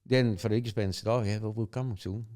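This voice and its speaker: A man's voice: fairly low pitch, steady tone, quick pace, a little mellow. An older man from the Netherlands with a Dutch accent, telling stories in casual chat, chuckling often and rambling with tag questions. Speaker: guest.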